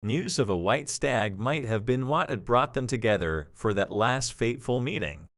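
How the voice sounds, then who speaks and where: A man's voice: medium pitch, no echo, studio quality. A man reading a scripted story as the narrator of an audio drama.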